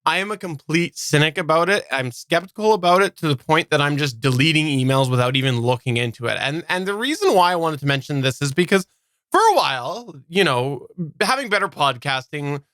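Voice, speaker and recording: A man co-hosting a casual live podcast chat, often repeating words haltingly as he works through a thought. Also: fairly high pitch, lively intonation, fairly bright tone, no room sound. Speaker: podcast co-host.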